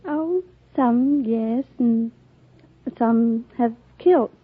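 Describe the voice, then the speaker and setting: A woman's voice: a little high, extremely slow, breathy edge. A woman in her twenties from Scotland, answering briefly and hesitantly as a radio quiz contestant. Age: 20s. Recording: dull old radio broadcast, audience, faint noise.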